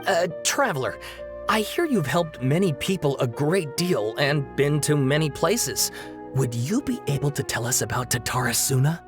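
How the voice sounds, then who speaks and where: Animated, earnest young student. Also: female voice, low voice, moderate pace, over music. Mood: sheepish, polite. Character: earnest young student, slightly awkward, exasperated. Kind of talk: animated video game voice acting.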